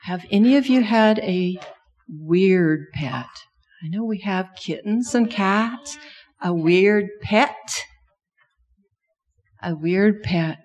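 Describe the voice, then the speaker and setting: A woman's voice: medium pitch, animated, slow, pauses often, darkish tone, highs cut off, slight background noise. A middle-aged woman who grew up in Thailand and speaks Thai natively, telling a children's sermon story and asking the kids many questions.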